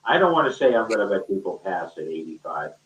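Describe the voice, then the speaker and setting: Low-voiced man in an echoey room. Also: big pitch swings, quick pace, slight background noise. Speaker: teacher and panel speaker. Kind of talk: unscripted online discussion. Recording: dull, echoey, distant-microphone call audio.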